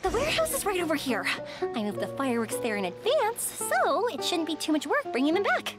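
A woman's voice: very high-pitched, huge pitch swings, fairly quick, loud music behind the voice, full character voice. An actress playing a cheerful, coaxing young woman who makes fireworks in an anime video game. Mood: secretive, playful. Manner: cheerful, wheedling, playful.

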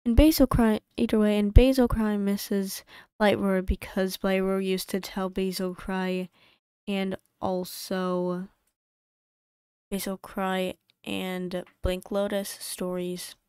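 Woman creator, mid-pitched and smooth, dry close desk mic, chatty rambling voice-over with many restarts. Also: little pitch movement, dark tone, no room sound. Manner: rambling, self-correcting, quick asides. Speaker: YouTube creator. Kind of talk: casual voice-over narration of a game playthrough. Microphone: home desk mic.

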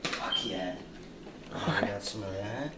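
A man's voice: lowish voice, measured pace, reverberant, crowd noise. A young man filming himself cooking in a home kitchen, explaining casually and swearing with cocky confidence. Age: young adult. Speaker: home cook vlogger. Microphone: handheld camera nearby.

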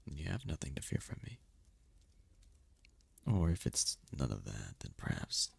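A man playing a seductive, imperious demon prince in an ASMR romance roleplay, teasing and possessive, with a husky, slow, theatrical purr. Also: very deep voice, very animated, a little mellow, no room sound, exaggerated voice. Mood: seductive, reassuring. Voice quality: gravelly, husky, often breathy whisper. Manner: slow, purring, theatrical, commanding.

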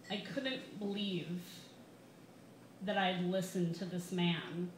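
A woman in her twenties with an American accent, reading a comedic personal essay live with wry, deliberate timing at a bar microphone. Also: fairly high pitch. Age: 20s. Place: small bar reading series, indoors. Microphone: microphone on a stand, held close. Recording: roomy live bar, some background noise.

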